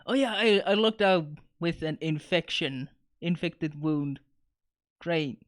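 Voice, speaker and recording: A man chatting with friends during a gaming stream, full of quick quips. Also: a little high. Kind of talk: casual chat while gaming.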